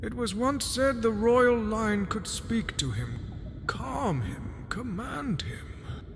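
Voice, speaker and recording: An actor playing a solemn old elder of a water folk, remembered in a fantasy audio drama. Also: male voice, very high-pitched, animated, takes it slowly, fairly bright tone, exaggerated voice. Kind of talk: cinematic audio drama acting. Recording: long reverb, clear background noise.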